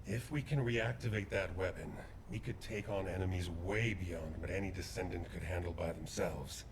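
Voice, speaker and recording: Very deep-voiced, measured soldier. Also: male voice, creaky, background noise. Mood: purposeful. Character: grave, courteous male veteran soldier in a sci-fi war. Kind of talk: video game cutscene acting.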